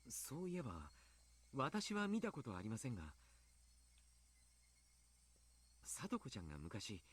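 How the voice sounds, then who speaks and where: A man playing a grave, polite doctor and coach in a Japanese visual novel, speaking deliberately. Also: mid-range voice, huge pitch swings, slightly rough. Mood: puzzled, serious.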